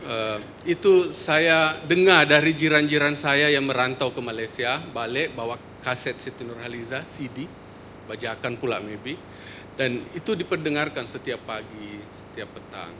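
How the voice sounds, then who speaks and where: A man from Indonesia with an Indonesian accent, presenting at a forum in a lecture hall, relaxed and joking, with many hesitations. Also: medium pitch, moderate pace, noisy background. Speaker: forum speaker, media researcher. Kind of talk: conference presentation.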